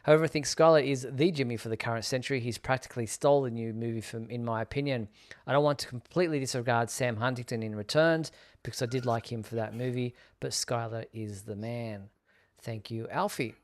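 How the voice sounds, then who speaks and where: A man's voice: medium pitch, brisk. A man casually hosting a live show, presenting and reading out viewer messages.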